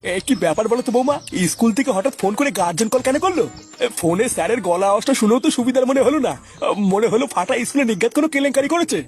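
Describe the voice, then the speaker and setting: A man's voice: very high voice, animated, very fast, faint music behind. A man voicing a fretful, foul-mouthed old grandfather in a comedy cartoon, questioning everything. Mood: worried. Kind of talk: exaggerated cartoon comedy dialogue.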